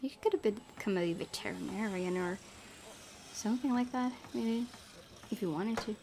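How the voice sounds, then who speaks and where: A woman hosting a gaming video, reading story text aloud and chatting informally about it with expressive reactions. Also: medium pitch, normal speed, a little hiss or hum. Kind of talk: reading a game's narration aloud with casual commentary.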